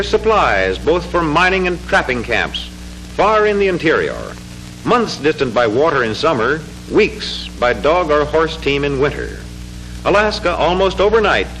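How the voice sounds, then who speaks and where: A man narrating a 1940s educational film in a formal, measured, declamatory manner, reading from a script. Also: fairly high pitch, sing-song, fairly bright tone, low-quality recording. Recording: 1940s film soundtrack with music. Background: music.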